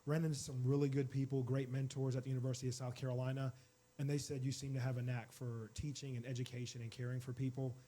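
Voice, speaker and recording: A man's voice: mid-range voice, little pitch movement, fast talker, mellow. A man in his forties, a college department chair, telling his career story as a TV interview guest in a friendly, reflective way.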